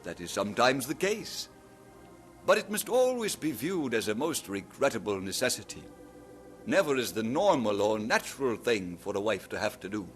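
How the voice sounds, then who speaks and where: An actor with a Scottish accent playing an earnest young preacher in a 1940s radio drama. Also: male voice, mid-range voice, very animated, normal speed, a bit bright. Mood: preaching. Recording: vintage radio recording with music.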